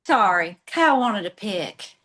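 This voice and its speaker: A woman's voice: medium pitch, sing-song, measured pace, a bit bright. A woman acting a flighty, eager young female assistant with a casual, ditzy manner in a comedy table read. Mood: chipper, casual.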